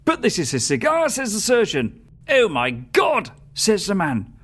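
An actor playing an eccentric, morbid joke-teller in a comic audio drama, with exaggerated delivery. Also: male voice, sits high, wildly swooping pitch, unhurried, fairly bright tone, slightly rough, full character voice. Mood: gleeful, morbid.

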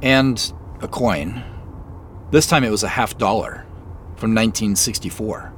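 An actor playing an inquisitive, slightly sheepish amateur sleuth in a naturalistic audio drama, talking in an easygoing, conversational way. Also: male voice, lowish voice, measured pace, a bit bright. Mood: quietly excited. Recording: faint vehicle noise behind.

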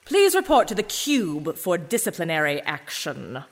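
A woman's voice: on the low side, huge pitch swings, moderate pace, full character voice. A woman playing a stern, unforgiving corporate director, formal and accusatory, in a dystopian audio drama. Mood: stern, accusing. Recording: reverberant hall.

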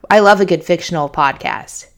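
A woman's voice: lowish voice, normal speed. A woman hosting a podcast, reading a promo in a chatty, informal way. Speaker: podcast host. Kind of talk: casual host-read promo.

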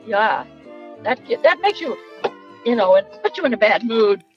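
An older woman with a Boston accent talks over a muffled phone line, stopping and starting as she giggles. Her pitch sits a little high and moves a lot. Faint music plays under the call. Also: sing-song. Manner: halting, giggly, hesitant. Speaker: host's mother, phone-in caller. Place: in a car, driving.

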